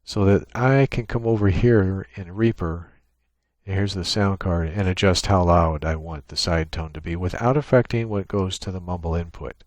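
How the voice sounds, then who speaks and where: A man giving an unscripted software tutorial, explaining each step in a matter-of-fact, technical way. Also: low voice, normal speed, dry recording. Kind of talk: unscripted screen-share walkthrough. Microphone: USB microphone with gate and compressor.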